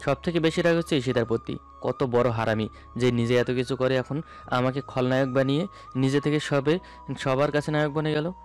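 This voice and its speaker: A man tells a story in the first person as a dramatic narrator. His pitch is middling for a man, and soft music plays behind him. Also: fairly even intonation, moderate pace. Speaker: narrator of a romantic audio story. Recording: music bed under the voice. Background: background music.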